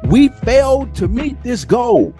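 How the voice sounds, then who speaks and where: A man's voice: very high voice, sing-song, slow, mellow, soft background music. A man in a casual but heated sports podcast debate, emphatic and argumentative, repeating phrases for stress and using slangy, profane language. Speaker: podcast co-host.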